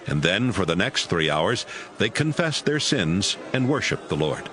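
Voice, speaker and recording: A man's voice: sits low, big pitch swings, a little slow, fairly bright tone, slight background noise. A man reading scripted audio Bible narration as its narrator.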